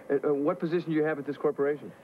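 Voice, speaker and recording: A man's voice: fairly high pitch, lightly acted. An actor playing a charming man passenger who teases and flirts in a playful, bantering manner. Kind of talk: 1980s TV movie romantic comedy. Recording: muffled TV audio with cabin noise.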